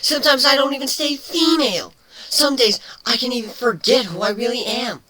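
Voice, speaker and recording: A performer voicing a feisty, defensive female changeling with a sharp tongue in a dramatic story reading. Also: high-pitched, fairly quick, a little hiss or hum, pitched above the performer's own voice, poor audio. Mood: furious, hurt. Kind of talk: dramatic fan fiction reading.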